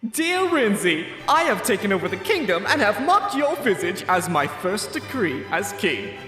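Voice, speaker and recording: A woman's voice: medium pitch, sing-song, moderate pace, fairly bright tone, faint music behind. A woman voicing a gloating, mocking noble rival with a taunting, theatrical delivery in a comedic fan dub. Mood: smug.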